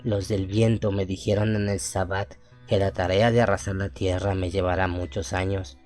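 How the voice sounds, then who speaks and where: A man playing an uncanny half-human boy sorcerer who reads his sinister diary in a slow, ominous way in a radio horror drama. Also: low-pitched, little pitch movement, darkish tone, faint music behind, close to an everyday voice. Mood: sinister, brooding.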